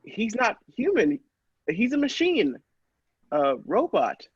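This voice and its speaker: A man playing an earnest, easily amazed doctor in an old-style radio play, speaking with theatrical, halting wonder. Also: high voice, wildly swooping pitch, husky, no echo, full character voice. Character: astonished, earnest male doctor visiting inventor. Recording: dull lo-fi, dry sound.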